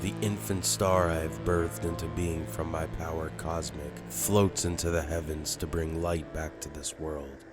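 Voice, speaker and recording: A man playing a noble, weary alien hero, narrating a comic in a solemn, theatrical, measured way. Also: extremely low pitch, level tone. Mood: grave, slow. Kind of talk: dramatic first-person comic narration and dialogue. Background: loud music.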